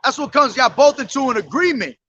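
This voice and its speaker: High, bright-voiced man preaching on the street. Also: expressive, lo-fi sound. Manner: emphatic, calls listeners "man", reads scripture slowly. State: hoarse from days of yelling. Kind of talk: street sermon with scripture reading. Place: outdoors on a street.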